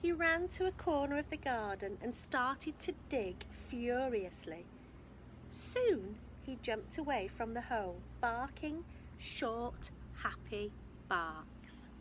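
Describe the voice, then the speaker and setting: Animated, high-voiced woman reading a story. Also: slow pace, background noise. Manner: expressive, emphatic storytelling with questions to listeners. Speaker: infant school storyteller. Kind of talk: reading a picture book aloud to young children, with asides.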